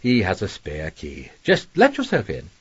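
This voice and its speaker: Husky, genteel schemer. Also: male voice, sits low, wildly swooping pitch, normal speed, no echo, dull audio, exaggerated voice. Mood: brisk, persuasive. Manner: genteel, wordy, insinuating. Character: suave scheming male employer, widowed, politely commanding. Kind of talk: radio drama acting.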